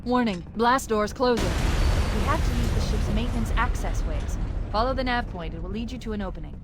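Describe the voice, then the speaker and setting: A woman voicing a clever, brisk ship AI in a video game, with a wry, advisory manner and concern for her companion. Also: a little high, very noisy, natural voice. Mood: urgent. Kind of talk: video game cutscene acting. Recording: loud music behind the voice.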